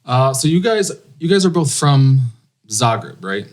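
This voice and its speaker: Podcast host, male, middle pitch, friendly, steers with short questions and lots of "okay". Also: big pitch swings, a little slow. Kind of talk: casual interview chat.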